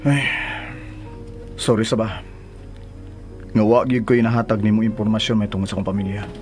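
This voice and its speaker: An actor playing a soothing, apologetic young husband in a radio drama, placating and a little hesitant. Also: male voice, on the low side, fairly even intonation, normal speed, darkish tone, faint music behind, lightly acted.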